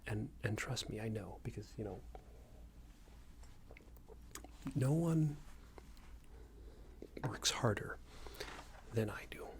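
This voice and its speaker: A man playing a smug, unreasonable boss in an ASMR roleplay, scolding an employee in a soft breathy whisper with a hesitant, condescending manner. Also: expressive, a little slow, slight background noise. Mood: smug, self-pitying. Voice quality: breathy soft whisper with low creaky murmur. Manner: hesitant, condescending, meandering reprimand.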